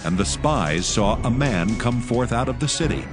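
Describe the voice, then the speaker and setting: Male scripture narrator: solemn and declamatory, lowish pitch, expressive melody, over clear background music. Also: normal speed.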